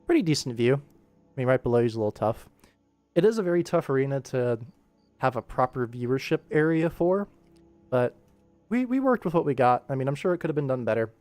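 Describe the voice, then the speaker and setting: A man's voice: mid-range voice, very animated, brisk, darkish tone, no echo, faint music behind. A young man talking casually as a livestream host, rambling playfully and thinking aloud as he works. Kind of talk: casual livestream chat while working.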